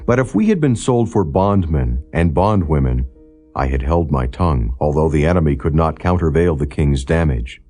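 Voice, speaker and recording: A man reading scripture as a solemn, formal audiobook narrator, in a measured and non-dramatized style. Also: very deep voice, expressive, mellow. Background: background music.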